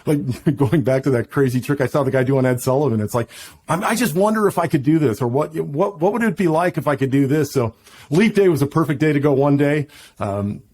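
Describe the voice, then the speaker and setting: A man's voice: a little high, brisk, a little mellow. A middle-aged man as a podcast guest, chatting casually with enthusiasm and many restarts. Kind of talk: casual interview chat.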